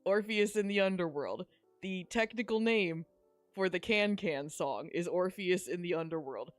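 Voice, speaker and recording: A woman's voice: on the low side, moderate pace, no room sound. A woman chatting casually as a podcast co-host, making wry, jokey, rambling commentary over a video game. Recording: game music playing underneath.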